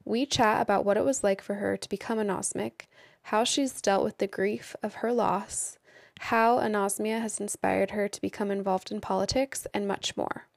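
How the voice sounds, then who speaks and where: A woman's voice: medium pitch, steady tone, moderate pace, creaky, no room sound, clean studio sound. A woman from Idaho hosting a podcast interview, asking questions in an upbeat, encouraging way. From United States, southern Idaho. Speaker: podcast host.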